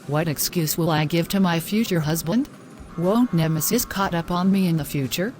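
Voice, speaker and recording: A woman's voice: fairly low pitch, normal speed, a little mellow, slight background noise, lightly acted. A woman playing a distraught, self-reproaching young woman in a scripted moral-story drama. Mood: self-loathing, anguished.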